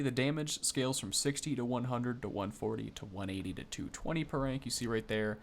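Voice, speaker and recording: A man's voice: on the low side, a little hiss or hum. Rambling man doing casual game commentary. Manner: rambling, self-correcting, easily impressed. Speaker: gaming video host.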